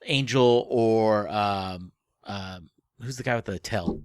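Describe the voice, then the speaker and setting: A man co-hosting a casual book discussion podcast, speaking haltingly and often circling back to rephrase. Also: on the low side, clear, resonant tone, no echo, clean studio sound. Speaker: podcast co-host.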